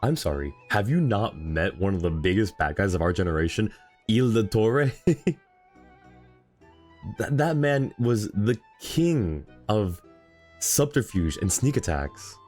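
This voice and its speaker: A male game streamer with a very deep voice that moves around a lot. He chats casually and jokily as he reacts to the game, with its music playing softly behind him. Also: sing-song, unhurried. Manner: jokey, reacts aloud, reads game dialogue out. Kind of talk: casual live game commentary.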